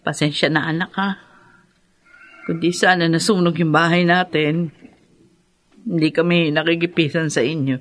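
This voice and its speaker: A woman playing a gentle, apologetic middle-aged mother in a radio drama, sounding soft and concerned. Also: low voice, steady tone, normal speed, long pauses, lightly acted. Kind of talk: horror radio drama acting.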